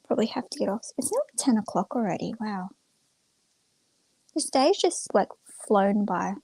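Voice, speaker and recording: A woman's voice: medium pitch, lively intonation, moderate pace, creaky, darkish tone, breathy edge, dry recording, rough recording. A young woman chatting casually to viewers on a livestream in a rambling, informal, self-effacing way. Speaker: live streamer.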